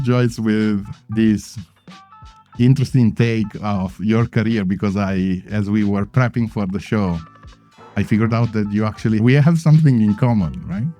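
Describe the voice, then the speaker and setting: A man with a lowish, mellow voice hosts an interview podcast. He speaks in a measured, emphatic way and frames his questions with care. The recording comes from a members' club lounge. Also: lo-fi sound. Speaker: podcast host. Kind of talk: interview podcast, scripted opening.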